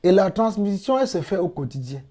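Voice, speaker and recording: A middle-aged man from Cameroon speaks as an interview guest, explaining points patiently and repeating key phrases for emphasis. His voice is mellow and warm, sitting a little high for a man. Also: very animated. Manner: measured, explanatory, emphatic repetitions. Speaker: interviewed guest, language teacher. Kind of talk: interview answers.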